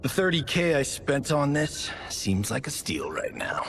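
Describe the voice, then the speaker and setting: A cocky, flirty show-off who drawls slowly with bouncy intonation. He sounds a bit distant, with clear noise behind him. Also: fairly high pitch, big pitch swings, a bit bright, heavily acted. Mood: smug. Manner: smug, flirty, joking. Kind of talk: video game cutscene acting.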